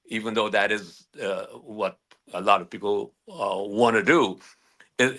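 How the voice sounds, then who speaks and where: A man from Guyana who is a guest attorney, explaining legal matters in measured, halting answers with many restarts. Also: fairly low pitch, bright, forceful tone.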